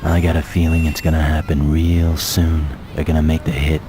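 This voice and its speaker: A terse game antihero, an American undercover cop. His voice is extremely deep and stays flat and laconic. Clear vehicle noise runs behind him. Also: moderate pace. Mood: grim, resolute. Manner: laconic, deadpan. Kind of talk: video game voice acting. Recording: noisy game cutscene with vehicle sounds.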